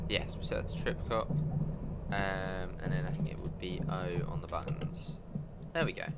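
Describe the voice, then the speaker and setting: A man's voice: low-pitched, very noisy. A man with a cold and a stuffy voice, chatting and thinking aloud while playing a game. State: congested from a cold. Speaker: guest game streamer. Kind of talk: live gameplay commentary. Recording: game sounds running under voice. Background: train simulator ambience.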